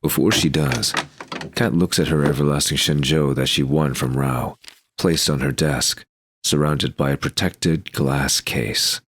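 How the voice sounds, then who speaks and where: A man's voice: rumbling low, steady tone, a little slow, a bit bright, slight background noise. A man reading scripted scene narration for an audio drama.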